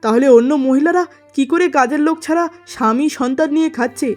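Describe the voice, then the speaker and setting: A woman voices a troubled merchant husband from a cartoon melodrama. The voice is high, earnest and full of grievance and regret. Music plays underneath. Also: fast, a little mellow, natural voice. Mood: aggrieved. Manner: earnest, aggrieved. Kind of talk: melodramatic cartoon story acting.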